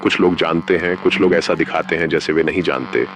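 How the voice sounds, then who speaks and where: A man narrating a scripted analog horror safety bulletin in a formal, measured announcer manner. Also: deep voice, background noise.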